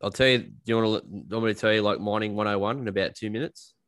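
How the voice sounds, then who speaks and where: A man's voice: on the low side, fairly even intonation, racing, fairly bright tone, no room sound, clean studio sound. An Australian man chatting casually as an interview guest, explaining things in a blunt, jokey, colloquial way. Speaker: podcast guest, coal miner. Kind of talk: casual chat, explaining his work.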